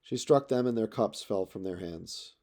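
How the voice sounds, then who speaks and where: A man reading epic poetry aloud as a narrator, in measured, dramatic storytelling phrases. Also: fairly low pitch, dark tone. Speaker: audiobook narrator.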